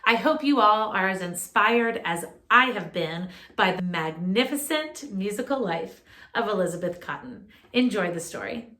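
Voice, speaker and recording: A woman music teacher leading a children's lesson, speaking in a clear, instructive way straight to young listeners. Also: mid-range voice. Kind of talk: children's music lesson and read-aloud narration.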